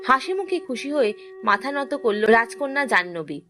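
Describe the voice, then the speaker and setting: Woman reading out a fairy tale, highish voice, storyteller style, music going behind her. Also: sing-song, normal speed. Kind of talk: scripted fairy tale narration. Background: background music.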